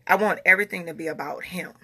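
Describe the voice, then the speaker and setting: Woman in her 40s, raspy bright voice, just chatting to her followers, earnest, keeps repeating herself. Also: fairly low pitch, fast talker, rough recording. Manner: earnest, repeats herself, talks in a Southern US dialect. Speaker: vlogger. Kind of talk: casual talk to followers.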